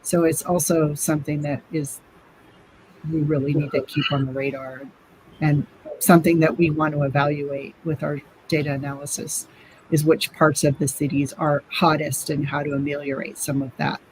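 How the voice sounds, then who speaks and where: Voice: medium pitch, little pitch movement, normal speed, poor audio. Concerned panelist, mid voice, faint hiss in the background. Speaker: panel participant. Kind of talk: discussion on a web forum call.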